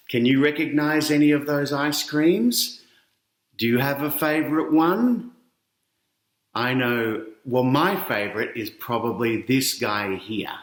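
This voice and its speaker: A man's voice: medium pitch, a bit bright. A middle-aged Australian man reading a picture book aloud to children, chatting to his young listeners along the way. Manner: addresses children directly with questions and explanations. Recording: roomy, echoey indoor sound.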